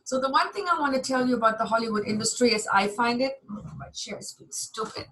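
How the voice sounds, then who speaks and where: A woman's voice: fairly high pitch, fast talker, fairly bright tone, low-quality recording. A German woman lecturing a university class over a video call, chatty and candid, with some self-deprecating humor.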